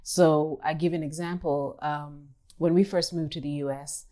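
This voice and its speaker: Low-voiced, mellow woman artist. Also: steady tone. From Kenya. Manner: thoughtful, measured, with pauses and restarts. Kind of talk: reflective interview talk.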